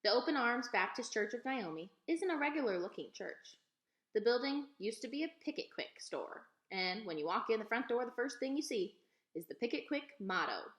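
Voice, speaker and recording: A woman reading a children's book aloud as an expressive storyteller. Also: on the high side, moderate pace. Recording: echoey room.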